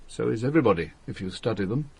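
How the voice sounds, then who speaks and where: An actor playing a calm, secretive smuggler and protective lover in a radio drama, with a measured, assured manner. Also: male voice, fairly low pitch, vocal fry, slightly rough, full character voice. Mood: tender, serious.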